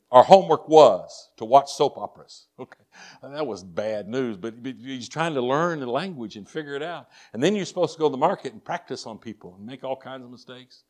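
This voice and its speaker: A man's voice: mid-range voice, a little mellow. An older man with a Texas drawl preaches to a congregation, weaving folksy personal stories into his sermon. He talks earnestly, often restarting and repeating words as he goes. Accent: Texan. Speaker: missionary preacher.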